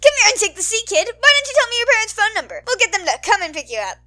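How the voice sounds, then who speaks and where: Woman putting on a booming, hyped-up male announcer. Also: very animated, fast, pushed and bright, full character voice. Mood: cheerful. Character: loud, excitable man, sports announcer pro hero.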